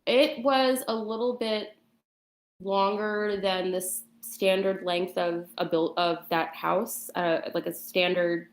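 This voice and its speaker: A woman giving a personal account as a guest, in casual conversational storytelling with somewhat halting, hesitant phrasing. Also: mid-range voice, steady tone. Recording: roomy, echoing voice chat.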